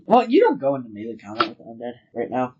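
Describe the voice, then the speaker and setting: A woman's voice: very deep voice, wildly swooping pitch, quick pace, distant mic. A woman playing a tabletop game, chatting casually with playful interjections. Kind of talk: casual chat during a game. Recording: noisy, muffled lo-fi recording.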